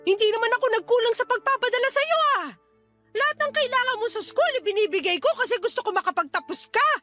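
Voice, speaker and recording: High-pitched, scolding Filipino older sister. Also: female voice, lively intonation, quick pace, sharp tone, exaggerated voice. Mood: furious scolding. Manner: stern, interrogating, scolding. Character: angry, scolding older sister who supports her siblings. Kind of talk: Tagalog radio drama acting. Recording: faint music behind the voice.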